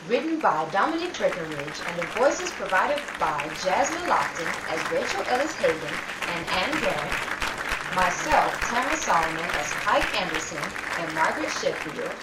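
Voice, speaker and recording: Lively woman in a loud echoey room. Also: medium pitch. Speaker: cast member and announcer. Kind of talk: credit announcing and casual chat. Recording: very noisy echoey live room, distant mic.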